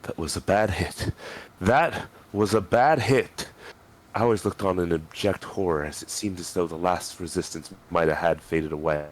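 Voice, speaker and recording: A man's voice: sits low, a little slow. A man narrating a story aloud as a dramatic reading.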